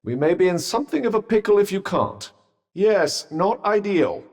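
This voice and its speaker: An actor voicing a sentient magical vambrace in a video game, a wry, haughty, cautious companion with a British accent and dry, sardonic, formal understatement. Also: male voice, sits high, very animated, normal speed, a little mellow, heavily acted. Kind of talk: video game character dialogue. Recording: long reverberant echo on the voice.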